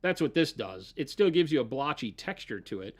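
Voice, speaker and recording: A man's voice: mid-range voice, sing-song, fairly quick, a little mellow. A man explaining model painting techniques in casual livestream talk, often checking in with "right?". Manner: explaining, fillers and restarts, says "right?".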